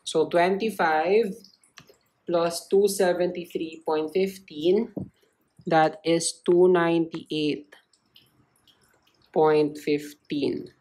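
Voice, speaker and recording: A woman with a low voice gives a step-by-step tutorial. She speaks slowly and explains things in simple phrases, as a tutor does. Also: steady tone, mellow, low-quality recording. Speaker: chemistry tutor.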